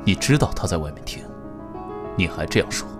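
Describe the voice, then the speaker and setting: A male actor plays a composed, stern chairman in a radio play. The voice is very low and expressive in its melody, yet restrained and reproving in manner. Faint music sits underneath. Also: brisk. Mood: quietly reproving. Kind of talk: Chinese audio drama acting.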